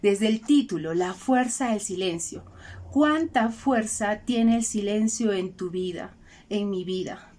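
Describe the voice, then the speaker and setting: Gentle Peruvian woman hosting a faith program. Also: mid-range voice. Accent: Peruvian Spanish. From Peru. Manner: gentle, addresses listeners directly, slows for emphasis. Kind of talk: reflective spiritual talk with readings.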